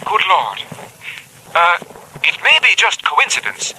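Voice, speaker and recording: A man's voice: high voice, huge pitch swings, slow, pushed and bright, full character voice, low-quality recording. An actor playing an alarmed, learned male doctor who speaks in a precise yet flustered way, in 1940s radio drama style. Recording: old radio recording with faint hiss.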